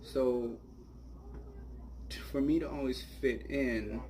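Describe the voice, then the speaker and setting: A man's voice: medium pitch, fairly even intonation, slow, darkish tone, a little hiss or hum, rough recording. A man in his late twenties from Bangladesh, bluntly telling his personal faith testimony in a casual, rambling way. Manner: blunt, candid, rambling with fillers. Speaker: testimony speaker. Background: guitar music.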